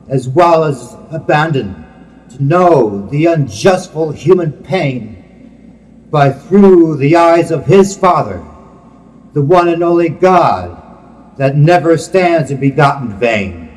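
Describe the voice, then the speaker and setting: A man recites religious poetry in a solemn, declamatory way. His voice sits a little higher than average and moves very slowly and deliberately. Long reverb surrounds each measured phrase, as if in a large hall. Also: very animated. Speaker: poet reading own work.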